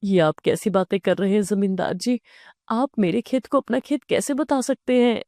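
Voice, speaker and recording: A woman's voice: medium pitch, normal speed, a little mellow, no echo, clean studio sound, close to an everyday voice. Woman playing an earnest, humble village farmer. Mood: bewildered. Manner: earnest, pleading. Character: honest, humble, worried male farmer.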